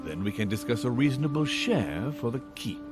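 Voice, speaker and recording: Male actor as haughty dwarf baron, formal, commanding, shrewd, very animated intonation, video game cutscene, background music. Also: mid-range voice, close to an everyday voice. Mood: courteous, commanding. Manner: imperious, formal, deliberate.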